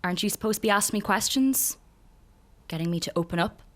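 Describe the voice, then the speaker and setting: Woman as a guarded, deadpan young Irishwoman. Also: medium pitch, quick pace, natural voice. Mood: guarded, wry. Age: young adult. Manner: hesitant, deadpan. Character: guarded, wry, depressed young woman in therapy. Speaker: actor in a radio drama. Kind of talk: naturalistic radio drama acting.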